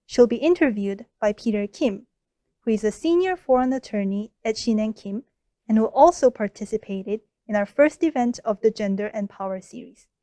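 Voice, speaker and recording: Sex: woman. Role: event emcee. Style: scripted, formal introduction. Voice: high, mellow, dry. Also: normal speed, dry recording. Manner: formal, even, reading an introduction. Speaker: emcee, announcer.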